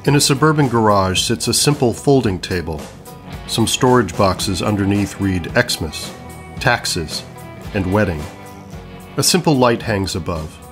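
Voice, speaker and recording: A man's voice: lowish voice, lively intonation, measured pace, music in the background. A man narrating scripted stage directions for an audio play.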